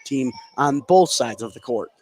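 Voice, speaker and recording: A man's voice: medium pitch, animated, a little slow, darkish tone. A man working as a color commentator, explaining a live basketball game in a conversational way.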